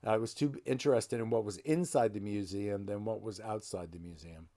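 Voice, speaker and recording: A middle-aged man with a gravelly voice hosts a casual genealogy talk, rambling a little and correcting himself as he goes. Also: lowish voice, normal speed, dark tone. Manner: rambling, self-correcting, folksy. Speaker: genealogy channel host. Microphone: desk microphone close to him.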